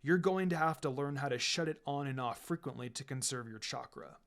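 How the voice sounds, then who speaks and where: A man voicing a calm, firm, encouraging adult ninja teacher with a measured, instructive delivery in a story reading. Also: mid-range voice, vocal fry, voice pushed down. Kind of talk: fan fiction reading with character voices. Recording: music behind the voice.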